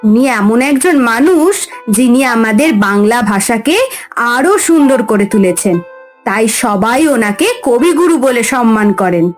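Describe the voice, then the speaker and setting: A woman's voice: fairly high pitch, expressive, a little slow, soft background music. A woman voicing a strict but caring mother in a cartoon story, speaking in an emphatic, instructive way. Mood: explaining.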